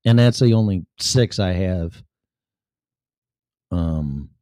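A man's voice: low voice, expressive, slow pace, dark tone, dry recording, clean studio sound. A man with a Chicago accent hosting his live-stream show in a chatty, profane, self-deprecating ramble. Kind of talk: casual rambling monologue.

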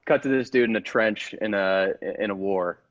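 Halting man improvising, slightly muffled. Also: fairly low pitch. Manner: halting, repeats phrases. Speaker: improv comedy performer. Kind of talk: casual improvised scene-setting.

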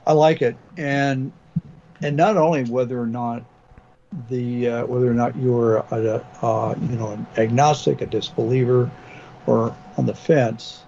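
A man's voice: mid-range voice, fairly even intonation, a little slow, mellow. A man co-hosts a casual podcast with a hesitant, filler-heavy delivery. His voice sits in a middling range. It comes through a slightly dull mic with faint hiss behind it. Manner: hesitant, many fillers and restarts. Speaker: podcast co-host. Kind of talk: casual chat.

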